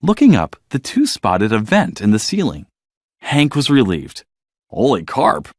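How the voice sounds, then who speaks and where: A man reading a scripted children's story as narrator, in an expressive, dramatic storytelling manner. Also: on the low side, a little slow, dry recording, studio quality.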